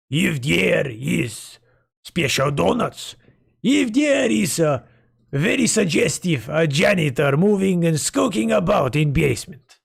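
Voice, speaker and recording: Gravelly, hoarse man rambling to chat. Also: on the high side. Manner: rambling, playful, mock-dramatic. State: hoarse, sore throat. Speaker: streamer. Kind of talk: casual livestream chat with viewers.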